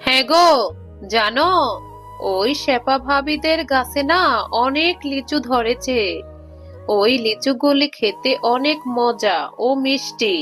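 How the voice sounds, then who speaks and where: A woman's voice: sits high, slow, fairly bright tone, faint music behind. A woman voicing a chatty, covetous neighbour wife in a children's animal cartoon, with a coaxing, gossipy manner. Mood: coaxing, greedy.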